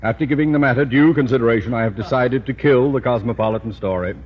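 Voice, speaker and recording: An actor playing a pompous, blustering middle-aged school principal in a 1950s radio sitcom, long-winded and stiff. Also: male voice, mid-range voice, fast, natural voice. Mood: stern. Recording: muffled old radio broadcast with hiss.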